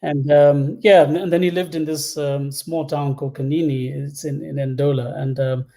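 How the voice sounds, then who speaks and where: A man's voice: a little high, level tone, normal speed, vocal fry, mellow. A man in his thirties from Zambia, telling personal stories casually and hesitantly as an interview guest. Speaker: interview guest, product executive. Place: indoor room at home, on a video call.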